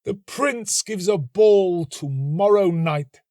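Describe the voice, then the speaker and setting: A lovelorn young student from a storybook, acted out by a male narrator. His voice is lifted high and slowed into long, plaintive phrases, full of melodramatic romantic despair. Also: a little mellow, no echo, studio quality. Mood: wistful, self-pitying. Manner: plaintive, melodramatic, drawn-out sighing delivery. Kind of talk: storybook reading.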